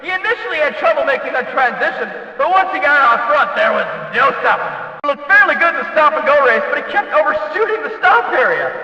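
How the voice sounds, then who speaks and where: A man playing an excitable, folksy race announcer in a video game, commentating emphatically in a racing style. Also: very high voice, quick pace, few pauses, muffled recording, full character voice. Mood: matter-of-fact recapping. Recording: echoey hall, distant from the mic.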